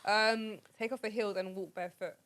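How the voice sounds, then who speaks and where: A woman's voice: medium pitch, steady tone, normal speed, a bit bright. A young woman hosting a casual podcast chat, reading out a list and laughing easily. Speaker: podcast host.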